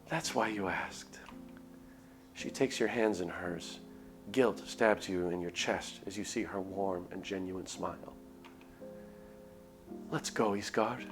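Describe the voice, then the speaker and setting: A man streaming a visual novel, reading the narration aloud expressively with casual asides. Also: lowish voice. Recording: music under the voice. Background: game background music.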